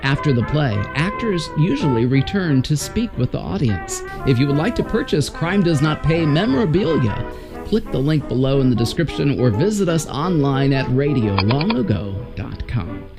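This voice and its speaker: A man's voice: fairly high pitch, sing-song, normal speed, music in the background. A man reading a scripted introduction as presenter of a vintage radio program. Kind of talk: scripted narration.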